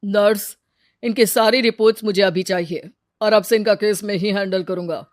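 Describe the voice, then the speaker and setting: A woman's voice: mid-range voice, fairly even intonation, normal speed, no room sound, studio quality, close to an everyday voice. A woman voicing a determined grown male doctor who gives firm orders.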